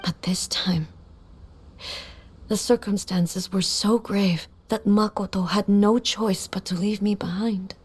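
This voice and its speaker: A woman playing a solemn, grieving immortal ruler in a video game, speaking formally and softly with measured composure. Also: medium pitch, steady tone, husky. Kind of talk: dramatic video game voice acting.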